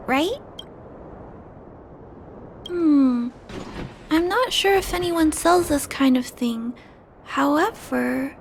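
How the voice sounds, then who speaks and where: A woman voicing a gentle, earnest little girl in a video game, speaking softly, politely and a little hesitantly. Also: high voice, a little hiss or hum. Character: gentle, earnest little girl who cares about robots. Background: game background music.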